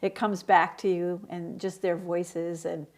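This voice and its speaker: A woman's voice: fairly low pitch, flat delivery, a little slow, darkish tone. A woman hosting a library interview show, friendly and encouraging, with frequent ums as she asks questions. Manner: friendly, encouraging, many fillers. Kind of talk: casual interview.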